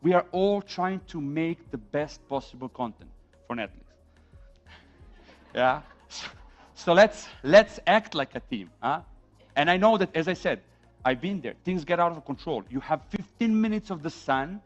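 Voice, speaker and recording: A middle-aged man with a Serbian accent lecturing a class on film sound, animated, acting out quotes from set, and checking in with "yeah?". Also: on the high side, measured pace, muffled recording, soft background music.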